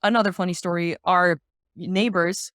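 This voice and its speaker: A woman's voice: on the low side, steady tone, normal speed, fairly bright tone, no echo. Woman, expert guest, informal and upbeat explainer, conversational, thinks aloud, dry recording. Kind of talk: casual explanatory discussion.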